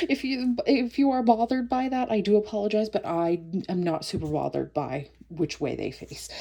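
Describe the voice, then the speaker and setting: A woman's voice: lowish voice, dark tone, breathy edge. A woman in her thirties, stuffed up from a cold, chatting casually as she counts cash for a budgeting vlog, often stopping to correct herself. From Canada. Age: 30s. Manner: friendly, self-correcting, chatty. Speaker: budgeting vlogger. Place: home, at night.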